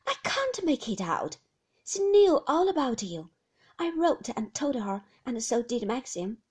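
A woman's voice: on the high side, very animated, measured pace, a little mellow, slightly rough, full character voice. A woman voicing an upper-class sister-in-law who speaks in gushing, emphatic exclamations. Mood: dismayed.

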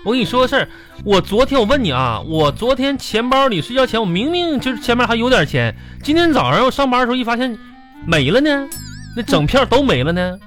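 A man's voice: extremely high pitch, big pitch swings, fast, a bit bright, exaggerated voice. A man playing a sheepish, flustered husband in a comedic radio drama, with a Northeastern Chinese accent and a stammering, protesting delivery. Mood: wheedling. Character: flustered, sheepish husband hiding money, making excuses. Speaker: radio comedy host and actor. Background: music.

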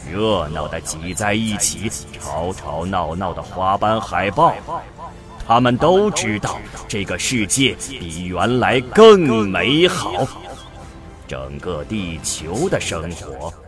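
A man acting a grave, visionary scientist in a science fiction radio drama, speaking in solemn, deliberate oratory, convinced he has saved the world. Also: deep voice. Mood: exalted conviction. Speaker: voice actor in an audio drama. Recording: music behind the voice.

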